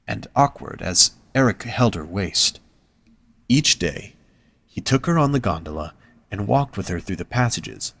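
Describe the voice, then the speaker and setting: A man reading scripted story narration as the narrator of an audio drama. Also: on the low side.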